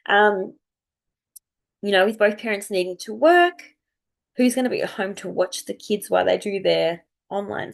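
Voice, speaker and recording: Croaky woman chatting casually. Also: medium pitch. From Australia. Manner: chatty, rambling, thinking aloud. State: croaky from a cold. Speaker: astrology video host. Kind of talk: casual unscripted chat to viewers.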